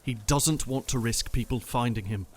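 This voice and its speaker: A man's voice: mid-range voice, normal speed, lightly acted. A man playing a methodical young planner. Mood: serious. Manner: careful, methodical reasoning. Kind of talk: audio drama acting.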